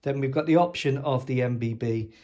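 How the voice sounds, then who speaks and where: A man's voice: mid-range voice, brisk, a little mellow, highs cut off. A man with hay fever who sounds sniffly, casually showing off his model collection at home in a chatty, rambling way with lots of ums and dry jokes. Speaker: scale model hobbyist.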